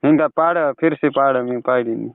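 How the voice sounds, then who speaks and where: A man's voice: medium pitch, a little fast. A man in a casual phone conversation, giving brief replies and short questions, on a narrowband call recording. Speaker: caller who records for his channel.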